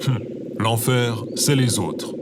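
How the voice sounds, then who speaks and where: Very deep, solemn deadpan cat. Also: female voice, slow pace, noisy background. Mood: deadpan, gloomy. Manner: deadpan, sententious. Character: sullen philosophizing pet cat, slow and solemn. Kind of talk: scripted audio drama acting.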